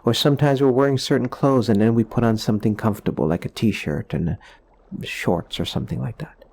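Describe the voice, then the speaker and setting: A man leading a guided meditation in a soothing, gentle, explanatory way, leaving pauses between phrases. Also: mid-range voice.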